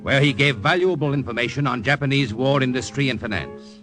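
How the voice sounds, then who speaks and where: A man's voice: medium pitch, brisk. A man with an American accent delivering dramatic scripted narration in the style of old radio. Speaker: series narrator. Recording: old radio recording, music behind.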